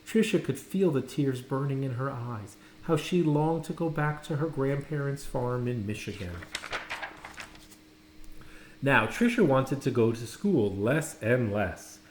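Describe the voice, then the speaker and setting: A man reading a children's story aloud, dramatically, with pauses and stressed words. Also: mid-range voice, lively intonation. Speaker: storybook reader. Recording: roomy echo, faint background noise.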